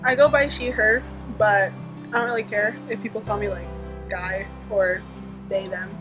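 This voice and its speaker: A woman's voice: mid-range voice, steady tone, normal speed, rough recording. A nineteen-year-old woman answering interview questions in a chatty, loose way with fillers. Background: background music.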